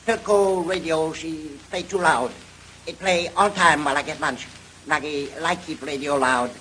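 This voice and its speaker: An actor playing an eager, servile ship's steward in a radio mystery, speaking broken English with a put-on Japanese accent. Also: male voice, fairly high pitch, fairly even intonation, normal speed, sharp tone. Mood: cheerful, eager. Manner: broken pidgin English, deferential. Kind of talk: old-time radio mystery acting. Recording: noisy old radio drama recording.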